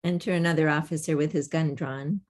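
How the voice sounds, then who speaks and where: Slightly low-voiced woman reading stage directions. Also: fairly even intonation, brisk, darkish tone. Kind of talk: scripted narration at a table read. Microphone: home microphone on a video call.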